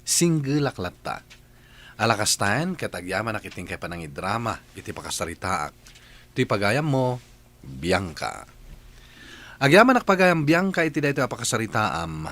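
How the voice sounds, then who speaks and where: A man hosting a radio drama, reading scripted letters and narration with expressive, dramatic phrasing. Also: fairly low pitch.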